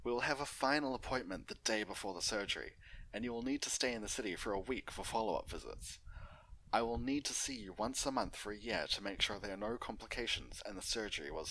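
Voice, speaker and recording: A man voicing a polite female pony doctor from a story, speaking in a measured, formal, reassuring way. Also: on the low side, pushed and bright, low-quality recording.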